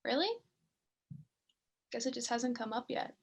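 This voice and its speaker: Date-night lead, young female stage actor: morbidly curious, creaky, slightly high, casual and halting. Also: steady tone, exaggerated voice. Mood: entranced. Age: young adult. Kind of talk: student stage acting, naturalistic dialogue.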